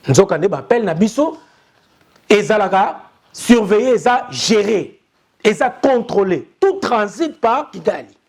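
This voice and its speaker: Impassioned young Congolese man, high voice. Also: sing-song, unhurried, darkish tone. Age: young adult. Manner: urgent, insistent, repetitive appeals. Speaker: news commentator. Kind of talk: impassioned commentary.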